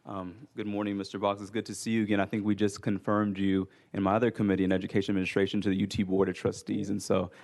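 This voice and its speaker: Fast-talking flat-voiced legislator. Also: male voice, on the low side, gravelly. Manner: polite, many ums, rapid. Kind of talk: questioning a nominee. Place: legislative hearing room.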